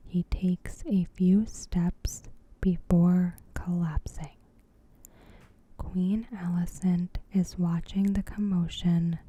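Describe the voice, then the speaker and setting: Woman, ASMR host, breathy whisper, very soft dark tone, lowish pitch, gentle scripted narration. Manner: gentle, unhurried, evenly measured.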